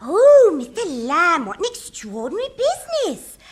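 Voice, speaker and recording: Excitable, high-pitched secretary. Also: female voice, normal speed, faint music behind, exaggerated voice. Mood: gushing. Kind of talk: British radio comedy acting.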